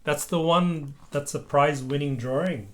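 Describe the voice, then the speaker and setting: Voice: mid-range voice, moderate pace. A parent reading a children's story aloud expressively, with patient explanatory asides to a small child.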